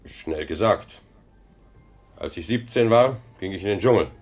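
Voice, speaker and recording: An actor playing a self-assured, successful older brother in a 1950s German radio drama, speaking in a measured, declamatory way. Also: male voice, on the low side, lively intonation, soft background music. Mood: self-satisfied. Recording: old 1950 radio play recording.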